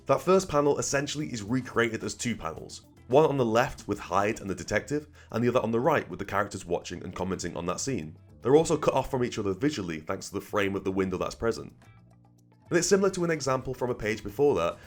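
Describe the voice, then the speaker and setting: A man narrating an explanatory video essay as its host, conversational and analytical, often checking in with the listener. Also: fairly low pitch. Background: music under the voice.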